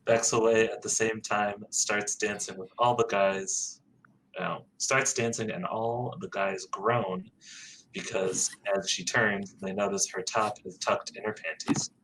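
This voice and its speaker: Deep-voiced guy reading script directions, flat matter-of-fact, slight background hiss. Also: measured pace, rough recording. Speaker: podcast host reading stage directions. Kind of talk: script narration.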